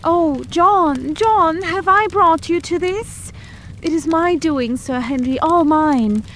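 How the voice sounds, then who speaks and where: A woman's voice: very high-pitched, slow pace, fairly bright tone, a little hiss or hum, voice pushed up, heavily acted. A woman voicing a distraught, imploring middle-aged housekeeper confessing to her employer. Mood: anguished. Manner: anguished, imploring.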